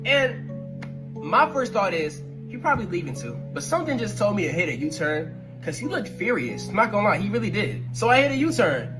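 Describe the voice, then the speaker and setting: Animated young man telling a story over music. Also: high-pitched, fairly quick. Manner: animated, slangy, laughs while telling. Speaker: content creator telling a story. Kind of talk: casual storytelling.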